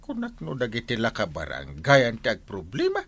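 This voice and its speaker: A man playing a flustered, excitable village captain in a comedy drama, with an Ilocano accent and hesitant, sputtering exclamations. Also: medium pitch, brisk, heavy background noise. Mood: grumbling, evasive. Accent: Ilocano, Philippines. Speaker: barangay captain character.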